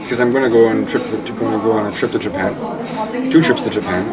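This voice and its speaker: A man's voice: fairly low pitch, quick pace. Chatty young man from Eastern Canada. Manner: relaxed, rambling, lots of "like". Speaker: interview guest. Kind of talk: casual conversational interview. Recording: loud crowd noise behind.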